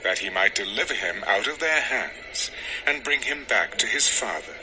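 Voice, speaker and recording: Low, expressive male Bible narrator. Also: moderate pace, background noise. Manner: solemn storytelling. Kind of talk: scripted dramatized Bible narration. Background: music.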